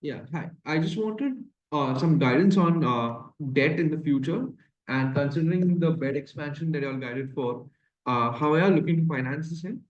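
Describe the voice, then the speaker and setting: A man's voice: a little high, normal speed. Distant, echoey male caller. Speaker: analyst asking a question. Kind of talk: question on an earnings call. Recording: echoey, distant, muffled call line.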